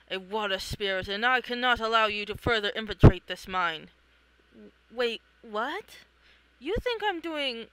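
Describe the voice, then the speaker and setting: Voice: medium pitch, pushed and bright, clean tone, no room sound, low-quality recording. A person reading a comic aloud, acting out the characters in a theatrical, exclamatory way. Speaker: reader of own comic. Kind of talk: animated read-along of a comic, acting out characters.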